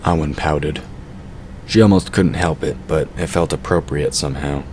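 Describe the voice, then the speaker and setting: A man's voice: very deep voice, normal speed, darkish tone. A man reading scripted story narration as the narrator of an audio drama. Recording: clearly noisy background ambience.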